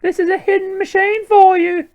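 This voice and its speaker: A man doing a falsetto helpful young woman. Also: flat delivery, moderate pace, lo-fi sound. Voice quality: strained falsetto. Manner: reading game dialogue aloud in a put-on high voice. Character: friendly young woman game character.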